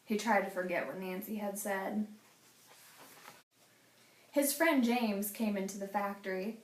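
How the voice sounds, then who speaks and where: A woman reading a children's picture book aloud as a teacher, with a deliberate, dramatic storytelling delivery. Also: mid-range voice, fairly even intonation. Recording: echoey room.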